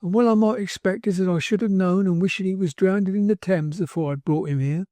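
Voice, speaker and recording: A man's voice: sits high, a little fast, warm tone, no echo, voice pushed up, lightly acted. A man voicing an indignant older woman nurse who rambles in ungrammatical speech.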